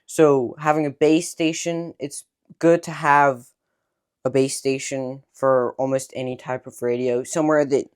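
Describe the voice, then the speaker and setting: A man explains a radio's controls one at a time in a relaxed, conversational way. His voice sits at an ordinary middle pitch. It sounds like a casual hands-on demo. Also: measured pace, smooth. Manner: explains step by step, points at controls. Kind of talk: casual product demonstration.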